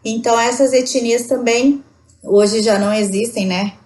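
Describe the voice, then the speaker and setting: A woman's voice: fairly high pitch, fairly even intonation, measured pace, darkish tone, slight background noise. A woman from Rondônia, Brazil, talking as a podcast guest, explaining at length with frequent tag questions. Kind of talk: casual conversation.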